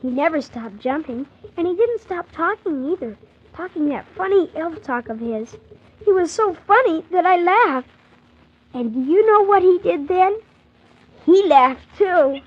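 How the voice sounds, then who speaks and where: Young boy narrator, high voice, earnest and polite; muffled, hissy 1940s radio storytelling. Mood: amused, excited. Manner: animated, earnest, wondering narration.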